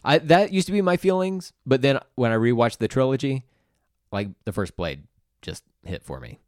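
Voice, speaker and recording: A man's voice: mid-range voice, expressive, normal speed, darkish tone, no room sound, clean studio sound. An American man co-hosting a podcast in casual chat, joking and swearing freely. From Tulsa, United States. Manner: joking, profane. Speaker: podcast co-host.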